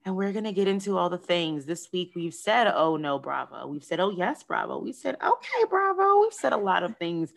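A woman hosting a podcast in a casual, playful, animated way, calling listeners "y'all" and "honey.". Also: fairly low pitch, normal speed, gravelly, hardly any pauses. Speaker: podcast host. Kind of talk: casual chat.

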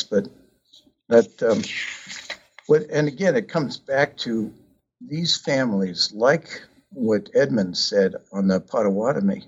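A man with a low, husky voice explains his book in a loose, conversational way. He keeps stopping to fill gaps with ums and uhs. The recording sounds dull and lo-fi. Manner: hesitant, many ums and uhs. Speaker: author discussing his book. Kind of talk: casual conversational talk.

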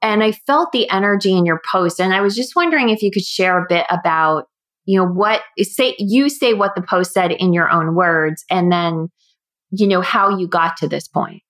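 A woman hosting a podcast, speaking in a thoughtful, measured way. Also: fairly low pitch, fairly bright tone. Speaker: podcast host, coach. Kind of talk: podcast intro and interview.

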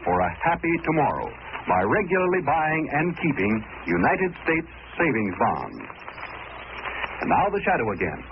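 A man's voice: fairly high pitch, very animated, moderate pace. A man reading a 1940s radio advertisement as an announcer, with a folksy, persuasive manner. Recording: tinny, hissy 1940s radio recording.

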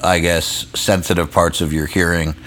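Very deep-voiced man hosting a podcast. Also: little pitch movement, moderate pace, a bit bright, a little hiss or hum. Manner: rambling, filler-heavy, swears casually. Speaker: podcast host. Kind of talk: casual chat.

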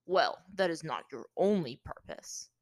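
A woman performs a male warrior captor from a fantasy story. He taunts in a calm, offhand tone with smug condescension and cool menace. The voice sits on the low side and is recorded dry and close. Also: unhurried, no echo. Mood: sly, offhand.

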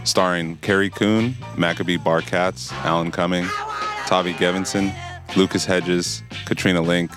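An announcer reading scripted podcast credits in a measured, listing manner. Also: sits low, steady tone, over music.

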